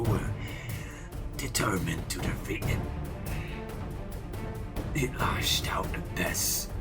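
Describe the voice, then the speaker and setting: An actor playing an aging, boastful pirate captain who tells his tales in a grave, theatrical, rambling way for an audio drama. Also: low-pitched. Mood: proud, then regretful. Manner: theatrical, deliberate, archaic seafaring speech.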